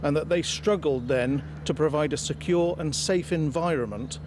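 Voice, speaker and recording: A man delivers a formal prepared statement as a solicitor. His pitch is a little high, and clear street noise and vehicle hum sit behind him. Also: normal speed. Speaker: family's solicitor. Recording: noisy outdoor recording with hum.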